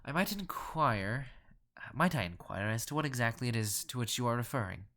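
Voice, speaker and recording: A man's voice: medium pitch, expressive, a little fast, bright, forceful tone. A man playing a pompous, ruthless wealthy collector, telling a dramatic horror story in formal, cool, theatrical phrasing. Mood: cool, skeptical. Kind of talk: dramatic first-person horror narration.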